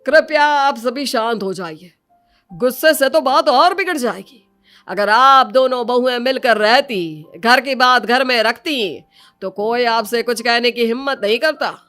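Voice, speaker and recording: Casting: female reader playing a pious village priest, preachy and measured, high-pitched, for a cartoon story. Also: fairly bright tone, soft background music. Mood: calming, admonishing.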